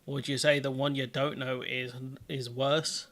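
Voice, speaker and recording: A man's voice: medium pitch, level tone, normal speed, fairly bright tone. A man hosting a political livestream, ranting with exasperated sarcasm and frequent restarts. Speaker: livestream host.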